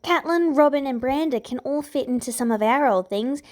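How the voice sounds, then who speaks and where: A woman's voice: high voice, brisk, pitched above the performer's own voice, lightly acted. A woman voicing a spirited, defiant thirteen-year-old princess with an indignant, girlish manner in a fantasy audiobook. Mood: glum, earnest. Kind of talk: fan fiction audiobook character voice.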